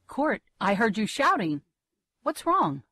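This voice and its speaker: A woman's voice: fairly high pitch, big pitch swings, takes it slowly, sharp tone, no room sound, heavily acted. An actress playing a worried but firm, dismissive mother in a gothic soap audio drama. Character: Court's mother, worried, dismissive middle-aged woman.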